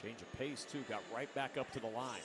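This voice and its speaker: A man's voice: medium pitch, brisk, a bit bright. A man doing football play-by-play commentary for a game broadcast. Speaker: play-by-play announcer. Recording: noisy game broadcast with stadium sound.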